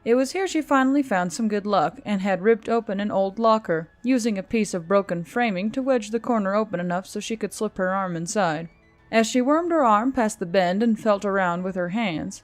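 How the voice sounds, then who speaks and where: A woman reading a scripted story aloud as an audiobook narrator. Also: mid-range voice.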